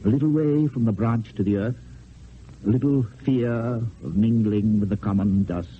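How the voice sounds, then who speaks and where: A man playing a proud, melancholy, aging poet-swordsman in a deliberate, theatrical radio drama style. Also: on the low side, natural voice. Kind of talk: old-time radio stage acting. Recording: narrow, noisy old radio recording.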